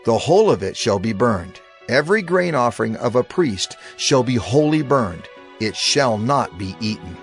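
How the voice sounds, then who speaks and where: Formal male scripture narrator, expressive pitch. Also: moderate pace, soft background music.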